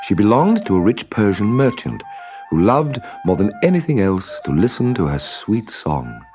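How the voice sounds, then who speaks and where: A man's voice: fairly low pitch, big pitch swings, moderate pace, mellow. A man reading a scripted children's story as narrator, with music behind him.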